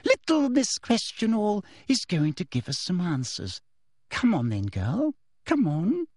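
A man voicing a smug, menacing middle-aged woman official with a breathy, simpering delivery, in an audiobook story. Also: high-pitched, sing-song, normal speed, no echo, studio quality, pitched above the performer's own voice, exaggerated voice. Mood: triumphant.

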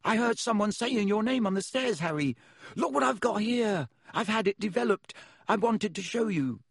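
A man's voice: high voice, animated, gravelly, breathy edge, dry recording, studio quality, voice pushed up, heavily acted. A man doing a piping, eager young schoolboy. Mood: eager, awestruck. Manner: breathless, piping, rapid questions. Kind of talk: audiobook character voice.

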